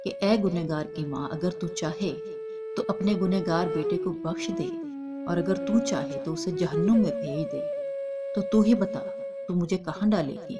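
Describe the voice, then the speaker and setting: Over loud flute music, a woman narrator plays a dying young sinner in a devotional storybook tale. The voice sits fairly low and pleads with sorrow and remorse. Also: big pitch swings, moderate pace. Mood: tearful pleading.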